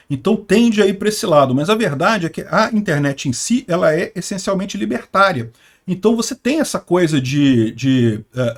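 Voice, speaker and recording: A man in his mid-fifties with a voice pitched high for a man. He talks like he's chatting with a friend, rambling from point to point with lots of asides and quick check-ins with the listener. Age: 50s. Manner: conversational, rambling, with frequent asides and tag questions. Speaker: political commentary channel host. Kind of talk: opinionated solo commentary to camera.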